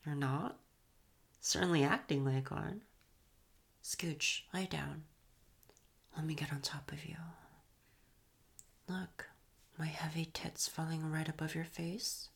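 A young woman speaks in a soft, teasing whisper, drawing out each phrase slowly. Her voice sits low, with a gravelly fry at the edges and breathy murmurs between lines. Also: fairly even intonation. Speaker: audio roleplay performer. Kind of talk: intimate whispered audio roleplay.

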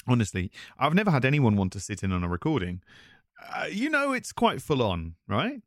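A man's voice: medium pitch, wildly swooping pitch, a little fast, no room sound, studio quality. A man playing a chatty, nervous voice actor, talking casually to a visitor in a self-conscious, hesitant, easily flustered way. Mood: nervous, welcoming. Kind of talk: casual conversational audio roleplay addressed to listener.

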